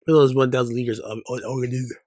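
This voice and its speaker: A man's voice: medium pitch, little pitch movement, quick pace, a little mellow, dry recording, clean studio sound. A man performing dialogue from a dramatic story.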